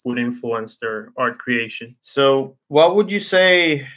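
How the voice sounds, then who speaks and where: A man in a casual podcast discussion, speaking in a halting, meandering way and often repeating words. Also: mid-range voice, expressive, fairly bright tone, rough recording. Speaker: podcast co-host.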